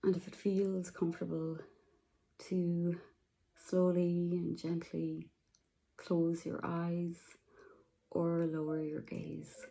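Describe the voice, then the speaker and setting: A woman guiding a meditation, speaking softly and slowly with long pauses. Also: fairly low pitch, flat delivery, vocal fry, low-quality recording. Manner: slow, soft, soothing, with long pauses. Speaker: meditation guide. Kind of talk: guided meditation.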